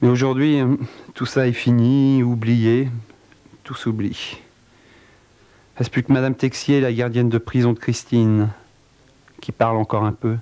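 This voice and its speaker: A man telling a dark true-crime tale in a wry, theatrical way, with a cold. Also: level tone, measured pace, pauses often, smooth. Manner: wry, theatrical storytelling with big pitch swings. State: has a cold. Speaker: narrator. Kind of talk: dramatic spoken narration.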